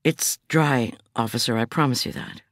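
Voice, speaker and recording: A woman's voice: rumbling low, normal speed, no room sound, studio quality. A woman voicing a shaken but composed, assertive female prosecutor in a thriller audiobook, speaking politely and with control. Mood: defensive, conciliatory. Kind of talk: audiobook thriller character dialogue.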